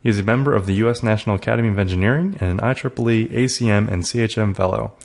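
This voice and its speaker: Gravelly low-voiced male podcast host. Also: rapid-fire, a little mellow. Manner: reads bios and news items with fillers. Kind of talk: reading intro, casual chat.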